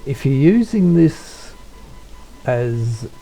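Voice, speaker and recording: A man giving an unscripted software tutorial, talking in a low-key, hesitant way as if thinking aloud. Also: very animated, extremely slow, vocal fry, faint music behind, low-quality recording. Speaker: tutorial presenter, radio hobbyist.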